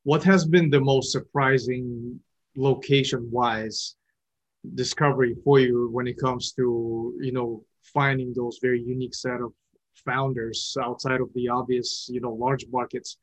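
A man's voice: mid-range voice, steady tone, a little slow, rough recording. A man from Kazakhstan interviewing a guest in a casual chat, talking loosely with many fillers and restarts. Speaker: interviewer, investor.

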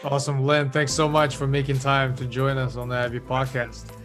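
A man's voice: medium pitch, monotone, a little fast, fairly bright tone, soft background music. A man hosting a podcast interview, upbeat and encouraging as he asks questions. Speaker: podcast host.

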